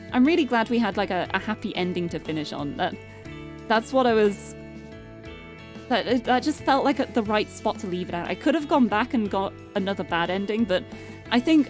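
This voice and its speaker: A young woman streamer chatting casually and cheerfully, her voice getting a little hoarse and tired. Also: medium pitch, sing-song, a little fast. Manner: bubbly, chatty, restarts words often. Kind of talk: casual live-stream chat. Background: background game music.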